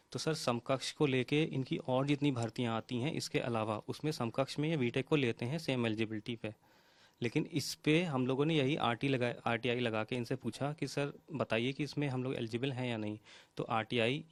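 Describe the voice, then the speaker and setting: A man's voice: medium pitch, no room sound. A young man explaining a grievance in a news interview, mixing in many English words. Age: young adult. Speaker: job candidate interviewed by news.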